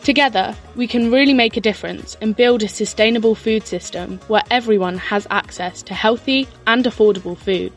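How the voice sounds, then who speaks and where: A woman giving measured, earnest scripted narration for a documentary. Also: on the high side, soft background music.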